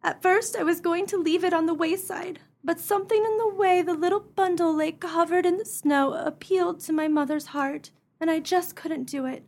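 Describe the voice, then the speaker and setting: Woman acting a high-voiced, forlorn young mother. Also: fairly even intonation, moderate pace, few pauses. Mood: earnest, grateful. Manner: earnest, melodramatic. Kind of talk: comic melodrama radio acting.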